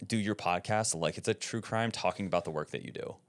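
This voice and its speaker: A man talking casually as an interview guest, emphatic and animated, often checking in with "right?". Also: on the low side, quick pace. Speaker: podcast network guest.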